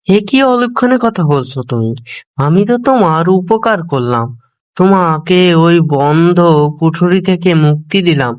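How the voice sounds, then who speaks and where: A man's voice: sits high, animated, normal speed. An actor playing a clever, fretful Brahmin pandit in a cartoon fable, a man's voice. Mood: worried. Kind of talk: cartoon voice acting.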